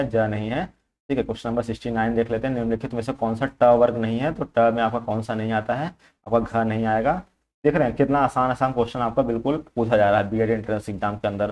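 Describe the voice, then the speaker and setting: A man's voice: mid-range voice, a little mellow. A man working through exam questions as a coaching teacher, explaining informally, repeating points and mixing in English terms.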